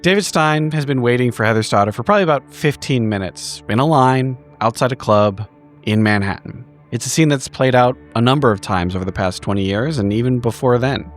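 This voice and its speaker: A man narrating his character in a tabletop roleplay, with measured, deliberate phrasing. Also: medium pitch, soft background music. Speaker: player.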